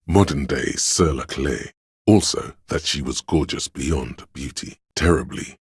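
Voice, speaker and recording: An actor playing an erudite, reverent inner voice of knowledge in a video game, narrating in a measured, grandiloquent way with a deep, gravelly voice. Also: male voice, no echo, clean studio sound, exaggerated voice. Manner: measured, grandiloquent, savoring words. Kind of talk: dramatic scripted narration.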